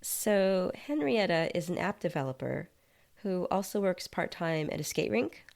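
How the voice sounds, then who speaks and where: Woman playing disembodied writer, curious, hesitant, polite, low voice, vocal fry, story reading. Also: lively intonation, unhurried, darkish tone. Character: uneasy, curious disembodied writer floating outside own body.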